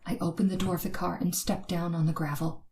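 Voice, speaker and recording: A woman's voice: on the low side, level tone, fast talker, close to an everyday voice. A woman playing a frightened woman motorist in an old-time radio horror drama, with tense, suspenseful, dramatic delivery. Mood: tense, ominous. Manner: dramatic, breathy gasps and hesitant stammers when afraid. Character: frightened young woman motorist, tense, narrating her terror. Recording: noisy recording with ambient effects.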